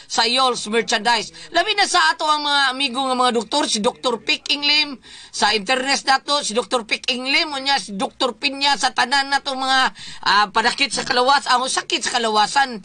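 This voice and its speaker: A woman's voice: high voice, normal speed, pushed and bright. A woman with a Cebuano accent hosting radio, chatting through greetings and product plugs. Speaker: radio host.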